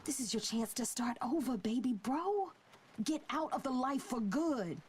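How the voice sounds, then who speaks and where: A woman's voice: sits high, lively intonation, unhurried, very noisy. A woman playing a tough, protective young American federal agent in a video game, with streetwise delivery. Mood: urgent, protective. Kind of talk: video game cutscene acting.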